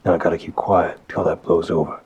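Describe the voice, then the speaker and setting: A low-pitched, heavily husky mafia boss played by a man speaks as if on a private call to a partner. He is gentle and protective, choosing his words with hesitant pauses. The delivery is an intimate, apologetic ASMR audio roleplay. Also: little pitch movement, muffled recording. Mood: grave, cautious.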